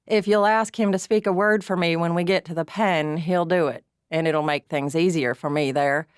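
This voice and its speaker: Woman doing a gruff, glum male prisoner. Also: lowish voice, fairly even intonation, moderate pace, dry recording, clean studio sound, natural voice. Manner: gruff, growling, plain-spoken.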